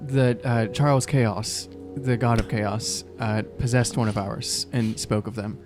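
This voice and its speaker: Halting man improvising in a tabletop game. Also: medium pitch, steady tone, over music. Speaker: player in an actual-play podcast. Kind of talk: casual improvised roleplay chat.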